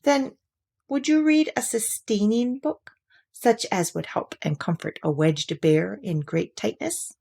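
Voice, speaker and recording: A woman's voice: medium pitch, slow pace, vocal fry, dark tone, breathy edge, exaggerated voice. A woman voicing an anxious, slightly huffy storybook bear in a plaintive, hesitant way. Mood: gloomy.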